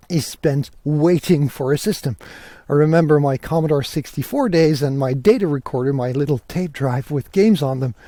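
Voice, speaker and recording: A man's voice: fairly high pitch, mellow, no echo. A man from Belgium hosting a podcast in a casual, conversational and enthusiastic way, talking solo while out walking. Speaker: podcast host. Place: outdoors, walking through countryside fields. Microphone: portable podcasting rig.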